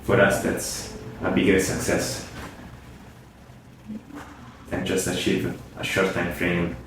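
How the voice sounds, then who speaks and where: A man in his mid-thirties speaks in a low, flat voice on a podcast, stumbling and restarting as he works his way toward his points. He is far from the mic in a very echoey room, with clear noise underneath. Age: 30s. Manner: halting, many fillers and restarts. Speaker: gym co-founder. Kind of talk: podcast conversation. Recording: roomy echo, off-mic, background noise.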